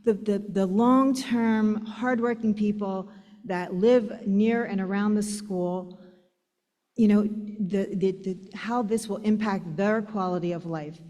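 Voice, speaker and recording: A woman's voice: medium pitch, fairly even intonation, slow pace, warm tone. A woman earnestly pleading with a board during public comment, with hesitant restarts. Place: large meeting hall. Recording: long hall reverb.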